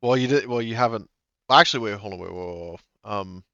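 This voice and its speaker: A man's voice: on the low side, fast talker, gravelly, bright, forceful tone, no room sound, lo-fi sound. A man running a tabletop game session as game master, thinking aloud and stopping often to check the rules. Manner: hesitant, thinks aloud with many fillers.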